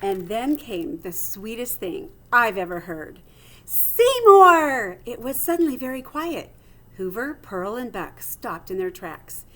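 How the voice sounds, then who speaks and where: A woman reading a children's storybook aloud like a teacher, with theatrical expression and clear phrasing. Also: medium pitch, animated, unhurried, a bit bright.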